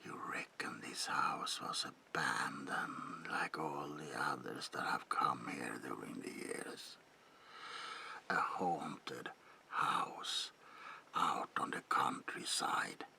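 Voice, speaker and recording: A man voicing an eerie, reclusive old vampire in a breathy, gravelly whisper for an ASMR roleplay, murmuring old-fashioned phrases with lingering repetitions and polite menace. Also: a bass voice, slow, sharp tone, full character voice. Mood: menacing, reproachful.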